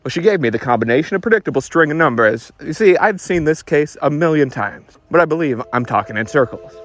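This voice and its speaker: A man's voice: fairly high pitch, expressive, fast, dry recording, faint music behind, pitched above the performer's own voice, close to an everyday voice. A man playing a hard-boiled 1940s private detective in a radio noir parody, wisecracking in clipped old-time gumshoe slang. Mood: brooding, dramatic.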